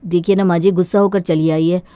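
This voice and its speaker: A man's voice: high voice, steady tone, a little fast, mellow, smooth, no room sound, natural voice. An actor plays a young husband in a cartoon moral tale. He is a caring, dutiful man with an earnest, concerned delivery. The recording is close and dry. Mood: puzzled. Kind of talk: Hindi cartoon moral story acting.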